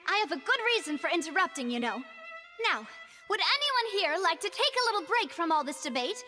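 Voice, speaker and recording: A self-assured young lady from a video game, with a very high voice. She is polite and playful, coaxing her listeners with poise while music plays loudly underneath. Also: very animated, brisk, full character voice. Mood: playful interjection.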